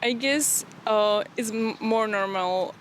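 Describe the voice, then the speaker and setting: A woman's voice: a little high, takes it slowly, a little hiss or hum. Thoughtful woman interviewer with an accent. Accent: non-native English. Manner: thoughtful, hesitant, with restarts. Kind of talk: casual conversational interview.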